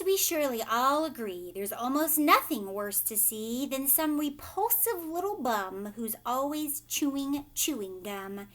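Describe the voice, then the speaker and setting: A woman's voice: fairly high pitch, a bit bright. A woman reading a children's story aloud like a teacher, with theatrical, emphatic phrasing aimed at young listeners.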